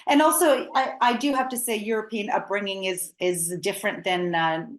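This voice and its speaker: A woman's voice: medium pitch, fairly even intonation, normal speed. A middle-aged woman from Canada, a native Ukrainian speaker, hosting an interview in a chatty way. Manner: chatty, ends points with "right?". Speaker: podcast host. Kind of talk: conversational interview. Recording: roomy echo.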